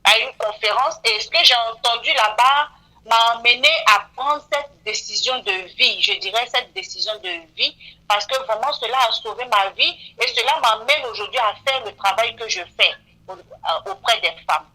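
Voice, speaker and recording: Earnest woman, 40s, bright higher voice, radio guest who leans on fillers. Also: moderate pace, poor audio. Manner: earnest, frequent "voilà" fillers. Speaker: beautician guest.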